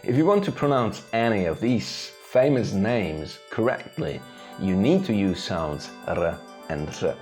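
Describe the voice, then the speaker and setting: Brief: Czech-native man, deepish voice, reads the lesson intro, faint music under. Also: lively intonation, measured pace, a little mellow. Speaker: teacher, channel host. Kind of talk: scripted lesson narration.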